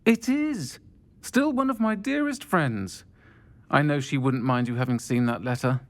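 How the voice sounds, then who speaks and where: An actress playing a warm, wistful middle-aged pub landlady witch in a video game, with a British accent and a gentle, conversational manner. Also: rumbling low, expressive, unhurried. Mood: fond, pleased. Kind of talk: video game cutscene dialogue.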